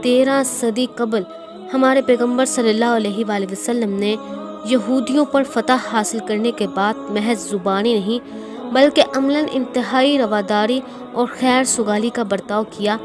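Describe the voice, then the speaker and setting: A woman's voice: on the high side, measured pace. A woman narrating a scripted historical speech in a formal, declamatory manner. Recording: music behind the voice. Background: clear background music.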